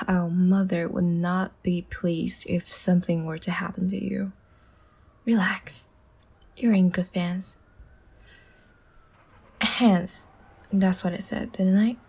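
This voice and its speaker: Woman playing a languid, breathy, half-whispering vampire seductress. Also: fairly low pitch, flat delivery, exaggerated voice. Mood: coy, teasing. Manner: slow, soft, teasing and soothing. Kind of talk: intimate ASMR audio roleplay.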